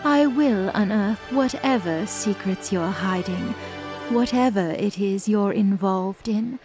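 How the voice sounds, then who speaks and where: Woman acting a menacing, vengeful goddess over music. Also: medium pitch. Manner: dramatic, threatening, deliberate. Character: cold, vengeful, menacing goddess, bitterly accusing.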